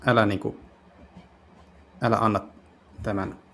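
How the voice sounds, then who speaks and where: A man playing through a visual novel, translating it aloud in casual speech and often halting to search for words. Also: on the low side, fairly even intonation, a bit bright. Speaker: game streamer. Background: game music.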